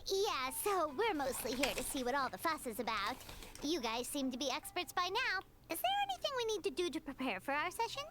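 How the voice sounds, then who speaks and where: A woman voicing a tiny, bubbly, outspoken fairy companion with a squeaky, childlike voice in a video game. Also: very animated, fairly quick, heavy background noise. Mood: chirpy.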